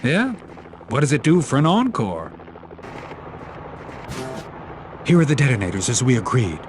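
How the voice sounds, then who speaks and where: A man's voice: medium pitch, wildly swooping pitch, brisk, background noise. A man playing a cool, wry, self-assured secret agent in a video game. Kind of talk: scripted video game dialogue.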